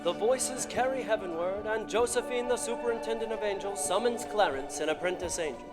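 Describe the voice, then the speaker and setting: An actor playing a showy 1940s radio announcer hosting a live broadcast on a theater stage. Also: male voice, high-pitched, fairly quick, loud music behind the voice, close to an everyday voice. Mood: announcing. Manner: showy, emcee patter. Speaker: radio show host. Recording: echoey hall with crowd.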